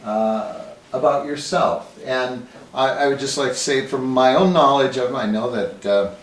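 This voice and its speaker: A man introducing his interview guest in a halting way, with many uhs. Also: medium pitch. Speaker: interviewer, magazine editor. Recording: echoey room, mic at a distance, faint hiss.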